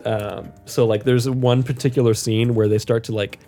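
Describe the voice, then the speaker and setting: Guy co-hosting a podcast, opinionated casual chat, average pitch, bit of music underneath. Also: flat delivery, moderate pace, dark tone. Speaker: podcast co-host.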